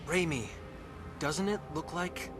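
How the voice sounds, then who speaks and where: A man's voice: a little high, takes it slowly. A man playing an earnest, hot-blooded young crew leader in a video game. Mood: concerned. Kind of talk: dramatic video game acting. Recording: game music behind the voice.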